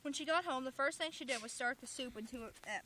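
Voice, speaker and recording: A woman's voice: high voice, fast talker, noisy background. A woman reading stories aloud in an informal way, slipping into chatty asides.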